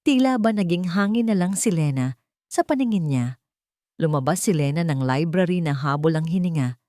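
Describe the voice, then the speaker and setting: A woman's voice: lowish voice, expressive, moderate pace, dark tone, dry recording, clean studio sound. A woman reading a dramatic scripted story as its narrator.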